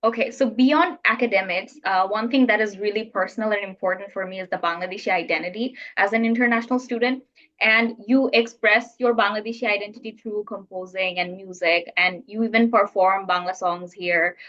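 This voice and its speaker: A woman hosting an interview, asking measured questions with slight hesitation. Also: medium pitch, a bit bright. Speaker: interviewer, host. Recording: dull, muffled sound.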